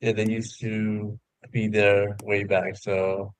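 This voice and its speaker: A man's voice: on the low side, level tone, takes it slowly, clear, resonant tone, no room sound. Dry-sounding man chatting casually. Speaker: participant in a live online audio show. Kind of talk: casual chat.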